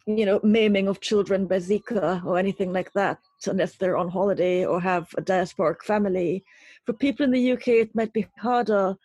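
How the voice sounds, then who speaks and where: A woman from Trinidad, answers interview questions in a reflective, literary way. Her voice sits at a middle pitch with some huskiness, and she chooses phrases deliberately. The sound is dry, with hardly any room to it. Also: warm tone, husky, no echo. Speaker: interview guest, poet and writer.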